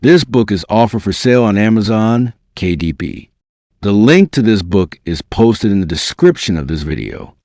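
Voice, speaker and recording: A man's voice: on the low side, very animated, normal speed, a little mellow, no room sound, clean studio sound. A man reading aloud as a narrator, in a dramatic scripted audiobook style. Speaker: narrator reading a book excerpt.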